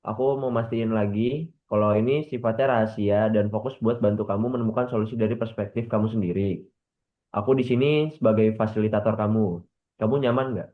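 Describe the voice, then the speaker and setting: A man in his late twenties leading a practice coaching session over a video call, speaking gently and informally with frequent hesitations. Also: fairly low pitch, steady tone, fast, phone-quality sound. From Bekasi, Indonesia. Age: 20s. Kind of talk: role-play coaching session.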